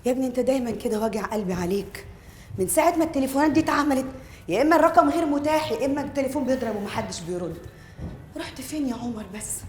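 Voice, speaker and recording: Actress as bossy motherly Egyptian household mistress, quick-fire scolding, fairly high voice, TV drama. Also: darkish tone, slight background noise, close to an everyday voice. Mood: worried, weary. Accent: Egyptian Arabic. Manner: scolding, insistent. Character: bossy, motherly mistress of a household, sharp-tongued but fond. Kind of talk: scripted TV drama dialogue.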